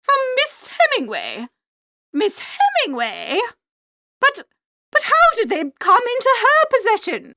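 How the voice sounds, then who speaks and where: A woman's voice: extremely high pitch, huge pitch swings, pushed and bright, no room sound, studio quality, exaggerated voice. A woman playing a haughty older aunt, snobbish and easily flustered, in a comic storybook reading. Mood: stunned. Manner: snobbish, emphatic, drawn-out delivery.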